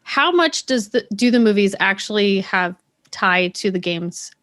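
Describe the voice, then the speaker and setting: A woman's voice: medium pitch, lively intonation, measured pace, fairly bright tone. Casual woman hosting a group discussion. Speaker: host of a discussion show. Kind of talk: casual panel chat.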